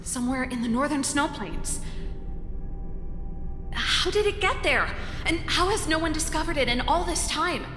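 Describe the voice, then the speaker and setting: High-voiced, impassioned young woman researcher. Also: expressive, normal speed, long pauses. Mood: excited, urgent. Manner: impassioned, dramatic, pleading. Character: passionate, idealistic young woman researcher pleading with a ruler. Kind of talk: video game story voice acting. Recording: echoey reverb with music behind.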